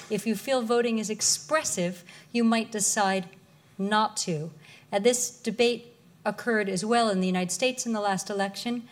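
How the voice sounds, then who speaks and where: A woman lecturer answering audience questions in an articulate, measured way. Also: medium pitch.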